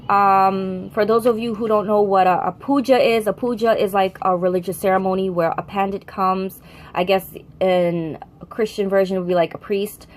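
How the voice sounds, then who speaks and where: A young American woman talks casually to her camera at a middling pitch. She rambles, restarts her sentences and fixes her own words as she goes. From United States. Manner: rambling, chatty, frequent self-corrections. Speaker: vlogger.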